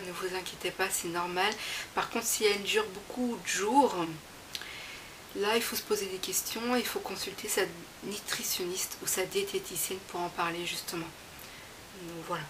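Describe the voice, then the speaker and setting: A woman talking casually to her viewers in a vlog, chatty and reassuring, with frequent fillers and restarts. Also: medium pitch. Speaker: vlogger sharing her weight-loss progress. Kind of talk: casual direct-to-camera vlog. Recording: audible background hiss.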